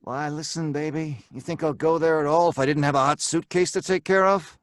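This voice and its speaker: A man's voice: on the high side, fairly even intonation, fairly quick, no room sound, clean studio sound, lightly acted. An actor playing a cocky, slangy robber and gang leader who tells his story in old time radio crime drama style. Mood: impatient, cocky. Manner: slangy, hard-boiled, colloquial.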